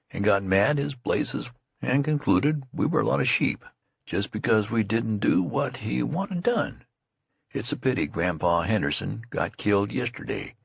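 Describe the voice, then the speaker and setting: Man putting on young rural soldier, higher voice, husky, swingy. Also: dry recording, clean studio sound, full character voice. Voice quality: rustic folksy dialect. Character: weary young male soldier, the youth.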